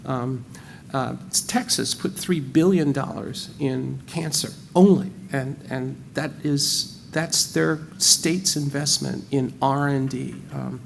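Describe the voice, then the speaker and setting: A man's voice: medium pitch, slow pace, creaky, slight background noise. A man with an American accent speaking animatedly on a panel, repeating words as he thinks. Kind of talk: panel discussion.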